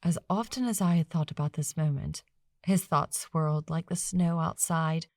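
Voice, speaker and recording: Lady reading a novel for an audiobook, lowish voice, very dry recording. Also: normal speed, a little mellow, no room sound. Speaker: audiobook narrator. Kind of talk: scripted fiction narration.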